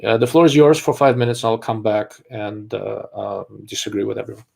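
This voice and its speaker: A man's voice: on the low side, level tone, brisk, creaky, a little mellow. A man from Russia, hosting a live-stream chat in a rambling way, full of fillers and restarts. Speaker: host.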